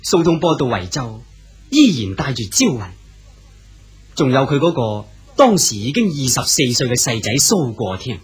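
A man's voice: medium pitch, very animated, moderate pace, faint music behind. A man narrating a radio drama in a scripted storytelling manner.

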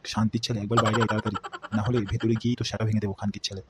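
A man's voice: lowish voice, flat delivery, very fast, heavy background noise, lightly acted. A man voicing stern, scolding village elders and a headman in a comedy cartoon. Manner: scolding, grave and abusive. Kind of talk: Bengali comedy cartoon dubbing. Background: background music.

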